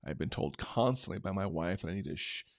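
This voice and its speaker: A middle-aged man hosting a marketing show, talking unscripted in a loose, conversational way with a big booming voice. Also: lively intonation, quick pace, gravelly, no echo, studio quality. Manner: unscripted, conversational, many restarts. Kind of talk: casual talk-show recap.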